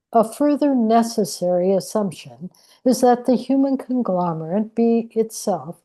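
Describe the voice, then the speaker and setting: A woman giving a formal, measured scripted reading as a narrator. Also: mid-range voice, dark tone.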